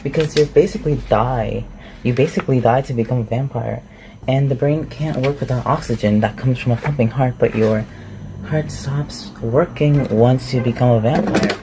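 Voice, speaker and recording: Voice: mid-range voice, dark tone, natural voice. A performer voicing a curious, awkward, chatty shapeshifter recluse who asks hesitant, rambling questions in a roleplay ASMR audio. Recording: clearly noisy home recording.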